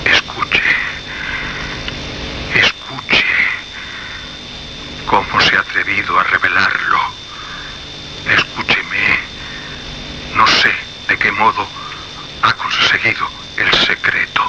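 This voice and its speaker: An actor with a Castilian Spanish accent playing a menacing, indignant anonymous caller in a radio suspense drama, speaking in an imperious, emphatic, repetitive way. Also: male voice, fairly low pitch, level tone, slow, pauses often, noisy background. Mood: urgent menace. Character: menacing anonymous male phone caller, indignant guardian of secrets.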